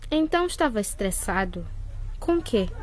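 Radio drama: woman as calm young female peer counselor, mid-pitched, gentle and encouraging. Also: normal speed, close to an everyday voice. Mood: reassuring. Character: calm, reassuring teenage girl, volunteer peer counselor.

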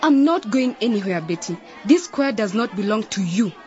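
A woman's voice: mid-range voice, expressive, moderate pace, dark tone, soft background music, close to an everyday voice. An actress playing a defiant young woman in a choir who mocks its leader, in a radio drama on a dull lo-fi recording. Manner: defiant, mocking.

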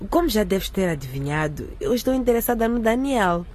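A woman's voice: medium pitch, sing-song, a little fast, a little mellow, a little hiss or hum, natural voice. An actress playing a scheming, confident teenage schoolgirl in a radio drama, coolly persuasive.

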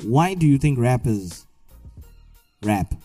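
A man's voice: medium pitch, big pitch swings, slow pace, mellow, faint music behind. A man with a Zimbabwean accent co-hosting a podcast, combative and interrupting with pointed questions. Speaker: podcast co-host. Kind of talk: heated casual debate.

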